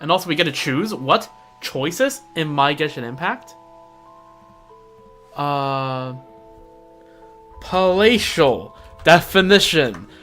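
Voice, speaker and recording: A man who speaks English as a second language, streaming a game with casual, halting commentary and lore reading. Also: on the high side, expressive, fairly bright tone, faint music behind. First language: not English. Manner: halting, casual, reacting aloud.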